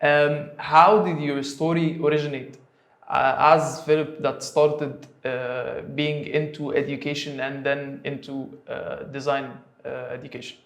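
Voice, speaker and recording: A man's voice: a little high, flat delivery, measured pace, echoey room. A man hosting a podcast interview, asking polite questions with some hesitation. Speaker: podcast host.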